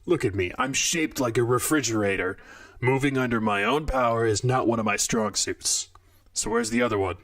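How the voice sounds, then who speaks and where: A man playing a blunt, bulky robot coffee maker in an audio drama, grumbling with deadpan sarcasm. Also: medium pitch, normal speed.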